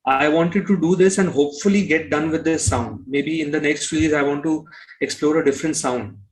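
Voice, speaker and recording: A man's voice: fairly high pitch, a little fast, poor audio. A man giving conversational interview answers as a musician, explaining and rephrasing as he goes.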